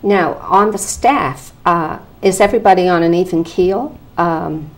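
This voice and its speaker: A woman hosting a television interview show, slightly hoarse from a cold, asking conversational questions and acting out a caller's worries. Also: fairly low pitch, measured pace. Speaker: television talk show host. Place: television studio.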